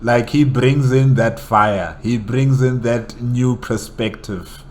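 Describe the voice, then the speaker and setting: Slow-paced man giving casual reactions. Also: medium pitch, a little mellow. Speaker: reaction video host.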